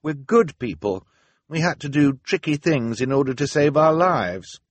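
A man's voice: fairly high pitch, lively intonation, measured pace, dry recording, voice pushed up. A man voicing a principled, cautious young boy in an audiobook, speaking firmly and earnestly. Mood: worried. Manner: firm, earnest. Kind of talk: audiobook character acting.

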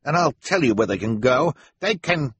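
A man's voice: mid-range voice, lively intonation, moderate pace, fairly bright tone, breathy edge, no room sound, voice pushed up. A man voicing a haughty, snappish rich woman, a callous guardian with an imperious, cutting manner. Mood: snappish, disdainful.

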